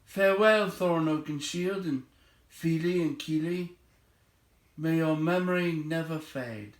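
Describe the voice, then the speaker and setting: A small, polite hobbit gentleman played by a man reading aloud, humble and easily flustered, with a hesitant storybook delivery. The pitch sits a little above the middle, and the room adds a clear echo. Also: measured pace, close to an everyday voice. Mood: fond farewell. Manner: hesitant, stammering. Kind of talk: storybook character voice.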